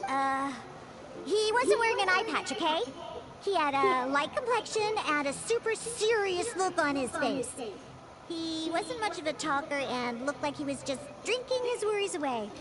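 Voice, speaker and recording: High, perky, sassy young bartender. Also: female voice, animated, normal speed, heavy background noise. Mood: flustered, evasive. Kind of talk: anime video game dialogue acting. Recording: game music playing loudly behind.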